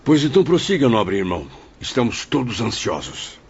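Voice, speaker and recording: A man's voice: medium pitch, very animated, normal speed, creaky, slightly rough, lo-fi sound, full character voice. A man playing an inquisitive, courteous spirit apprentice in an audio drama, asking formal questions. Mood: curious, concerned.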